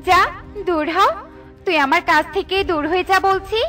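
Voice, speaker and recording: A woman's voice: very high voice, fairly even intonation, normal speed, bright, forceful tone, faint music behind. A woman voicing an arrogant, boastful talking banana tree in a cartoon fairy tale, with a haughty manner. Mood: scornful, angry. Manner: haughty and dismissive.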